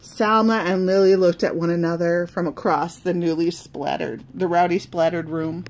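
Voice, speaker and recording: A woman reading a children's storybook aloud in an expressive, deliberate way, pausing between phrases. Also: on the low side, a little hiss or hum. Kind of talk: storybook read-aloud with asides.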